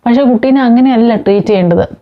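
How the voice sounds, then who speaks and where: A woman's voice: fairly high pitch, fast talker, lo-fi sound. A woman talking informally to viewers, explaining with examples and mixing in English words. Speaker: host of a parenting talk.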